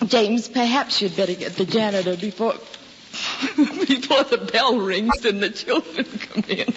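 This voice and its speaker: A woman's voice: mid-range voice, a little slow, a bit bright, lightly acted. An actress playing a proper, polite lady schoolteacher with a sense of fun in an old radio drama. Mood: amused. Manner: proper, genteel. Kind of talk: vintage American radio drama acting. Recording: dull echoing vintage recording, faint noise.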